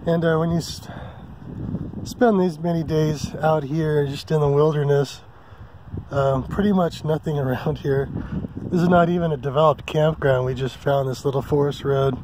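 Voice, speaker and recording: A man hosting a casual camping vlog from a mountain meadow, talking in a relaxed, rambling way with many ums and uhs. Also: on the high side, moderate pace, noisy background. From Hawaii. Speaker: vlog host. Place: open mountain meadow campsite outdoors.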